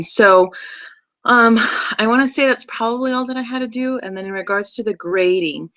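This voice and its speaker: A woman's voice: on the low side, fairly bright tone, dry recording. A woman in her thirties, originally from Brazil, chatting casually as she introduces herself to a class, with many ums and restarts. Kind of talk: casual self-introduction video.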